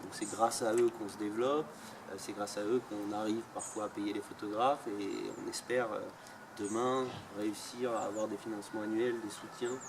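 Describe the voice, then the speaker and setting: A man's voice: on the low side, steady tone, moderate pace. A man being interviewed about his photojournalism project, answering at length with frequent hesitations. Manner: hesitant, many fillers. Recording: distant mic, loud outdoor noise.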